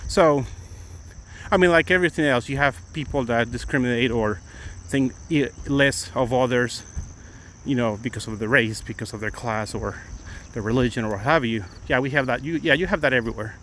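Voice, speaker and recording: A man's voice: mid-range voice, normal speed, a bit bright, slight background noise. A middle-aged Mexican-born man with a Mexican accent, chatting loosely on a live stream, rambling with many restarts as he walks outdoors. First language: Spanish. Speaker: attorney vlogger. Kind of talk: casual live-stream chat. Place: outdoors on a morning walk.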